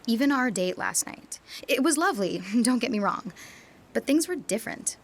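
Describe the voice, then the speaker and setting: A woman's voice: fairly high pitch, lively intonation, moderate pace. A woman playing a wistful young adult back home from university, speaking in a reflective, confessional radio drama monologue. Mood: troubled, tender.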